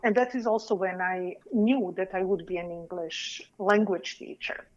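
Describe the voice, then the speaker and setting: A woman's voice: mid-range voice, fairly even intonation, dull audio. Serbian-accented woman, earnest academic. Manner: measured, earnest, careful academic phrasing. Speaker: guest, PhD candidate. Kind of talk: podcast interview answer.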